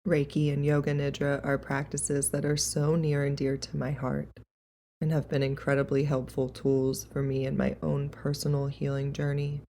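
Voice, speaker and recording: A woman leading a guided meditation in a calm, gentle, unhurried and soothing way. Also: sits low, slight background noise.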